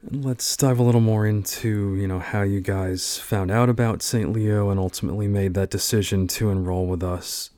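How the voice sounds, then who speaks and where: A man's voice: fairly low pitch, fairly even intonation, moderate pace, hardly any pauses, warm tone, no echo. A man hosting a university podcast roundtable, introducing guests and asking questions with plenty of filler words. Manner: friendly, filler-heavy interviewer. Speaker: podcast host.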